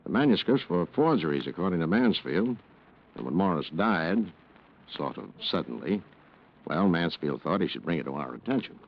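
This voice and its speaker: An actor playing a dry, probing police lieutenant in 1940s radio noir style, deliberate and understated. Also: male voice, sits low, very animated, slight background noise. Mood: coolly probing. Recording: dull vintage radio recording.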